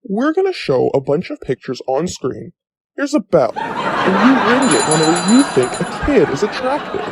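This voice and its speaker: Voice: medium pitch, big pitch swings, normal speed, very noisy. An actor playing a smug intrusive thought personified as a gaslighting, taunting talk-show host, with theatrical TV patter. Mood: gleeful bullying.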